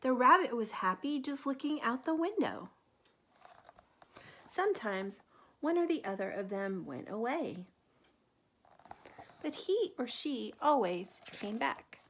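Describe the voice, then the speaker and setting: Female storytime reader, higher register, gentle and questioning, teacher-like delivery for young listeners, some background noise. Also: very animated. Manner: gentle, pausing to ask questions and point things out. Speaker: teacher reading a picture book aloud to children. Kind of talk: children's read-aloud with questions to the listener.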